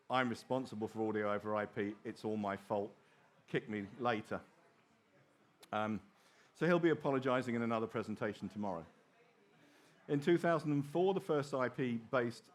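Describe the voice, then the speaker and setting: A middle-aged man speaks as a presenter at a technical conference. His voice sits a little low. He explains things informally, slipping in wry asides. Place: small open presentation theatre at a trade show.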